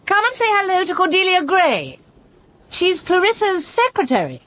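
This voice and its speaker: An actress playing a sharp, scheming, outspoken British woman with a brisk sardonic manner in a radio drama. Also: very high voice, huge pitch swings, dry recording, exaggerated voice. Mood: brisk, nosy. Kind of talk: full-cast radio drama acting.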